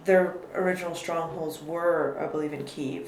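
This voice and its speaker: A woman's voice: deep voice, fairly even intonation, moderate pace, a little mellow. A woman guest in a casual political conversation, thoughtful and careful with her words, often restarting her sentences. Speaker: podcast guest. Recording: echoey room with faint noise.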